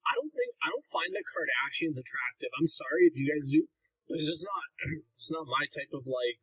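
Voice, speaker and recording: A man's voice: on the high side, expressive, brisk, a bit bright, husky, low-quality recording. A young man hosting a casual livestream Q&A. He reads chat questions aloud and answers them bluntly and ramblingly, with plenty of "like" fillers. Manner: blunt, rambling, heavy use of "like".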